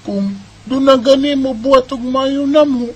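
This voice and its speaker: A woman voicing an earnest young boy who gently looks after his family, in a homemade radio drama. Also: high voice, measured pace, husky. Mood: cheerful. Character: earnest young boy, caring older brother.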